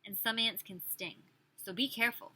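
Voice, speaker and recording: A woman's voice: mid-range voice, a little fast, sharp tone, rough recording. A woman preschool teacher reading a picture book aloud expressively, with questions and chatty asides for small children.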